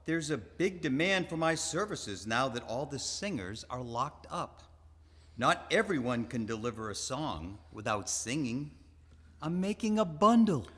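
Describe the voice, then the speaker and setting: A man's voice: mid-range voice, very animated, measured pace, a bit bright, husky, exaggerated voice. A man playing an elderly, genial star of the stage in a live radio theater comedy, theatrical and suave. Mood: breezy, boastful. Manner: theatrical, debonair, speaks song lyrics in rhythm.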